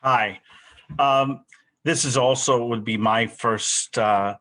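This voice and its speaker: A man's voice: medium pitch, steady tone, slow, sharp tone, no echo. Hesitant man on a dry video call. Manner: hesitant, many restarts. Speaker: school council candidate. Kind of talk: candidate forum answer over video call.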